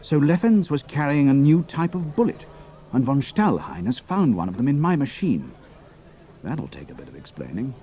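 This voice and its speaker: An actor playing a cool, quick-witted military pilot in an audio drama. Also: male voice, medium pitch, sing-song, mellow, slight background noise, lightly acted. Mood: anxious thinking aloud.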